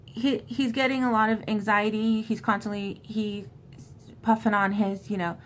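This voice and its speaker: A woman host gives an informal book recap, moving between loose retelling and reading aloud. Her voice sits at an ordinary mid pitch for a woman. There is noticeable ambient noise behind her. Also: level tone, moderate pace. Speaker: host recapping a horror novel. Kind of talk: casual book recap with passages read aloud.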